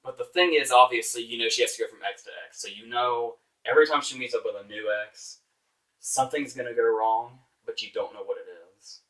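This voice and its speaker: A man hosts an informal movie review in a bright, forceful voice, sounding exasperated and sarcastic. He leans hard on his words and swears casually. The microphone is some distance away from him. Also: mid-range voice, a little fast. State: voice getting hoarse. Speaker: movie reviewer, show host.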